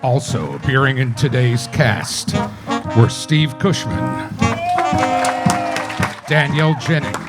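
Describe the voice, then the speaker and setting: A man's voice: medium pitch, slow, long pauses. A man making formal scripted announcements in the style of a 1950s radio crime show. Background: loud live orchestra music.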